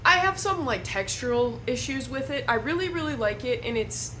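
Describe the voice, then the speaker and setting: A young woman from Wisconsin, slightly hoarse from a cold, chatting to camera at home in a rambling, self-deprecating way as a beauty vlogger. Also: high voice, animated, moderate pace, bright, forceful tone, a little hiss or hum. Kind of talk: casual chat to camera. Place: at home indoors.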